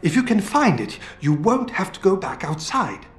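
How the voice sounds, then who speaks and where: An actor playing an older British scientist in a video game, feisty and fatherly, with colourful, expressive delivery. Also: high voice, moderate pace. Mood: wry, weary. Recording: roomy game audio with music.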